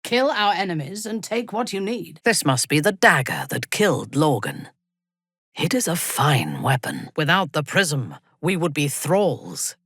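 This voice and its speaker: Ruthless female drow villain in a fantasy game: slow, breathy delivery, cold seductive menace, haughty command. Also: sits low, big pitch swings, fairly bright tone, dry recording, studio quality, exaggerated voice. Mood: haughty, menacing, then grim. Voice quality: husky, breathy. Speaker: video game voice actor. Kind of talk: dramatic video game character dialogue.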